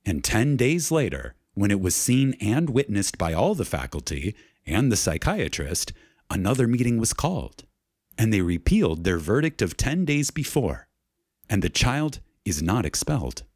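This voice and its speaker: Male lecture reader, reverent and emphatic, lowish pitch with lively swings, deliberate pauses, dry close sound. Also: breathy edge, dry recording. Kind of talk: reverent, dramatic reading of a metaphysical lecture.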